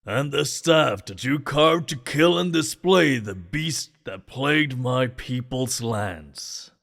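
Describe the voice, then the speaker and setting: A man playing a stately, grateful king in a fantasy audio drama, speaking formally and ceremoniously with a gravelly voice. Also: medium pitch, lively intonation, takes it slowly, fairly bright tone, heavily acted. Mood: regal, insistent. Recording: long hall reverb.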